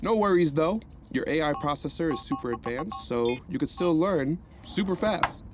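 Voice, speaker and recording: An actor playing a cheerful male counselor who talks in an animated, rambling way. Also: fairly high pitch, moderate pace, music in the background, lightly acted. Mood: cheery. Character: cheerful male rehab counselor, upbeat and chatty.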